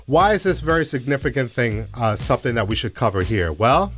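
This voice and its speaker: A man's voice: mid-range voice, expressive, brisk, a bit bright, soft background music. A man co-hosting a radio show, explaining news step by step and putting rhetorical questions to the listeners. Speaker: radio co-host. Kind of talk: music business news commentary on a radio show.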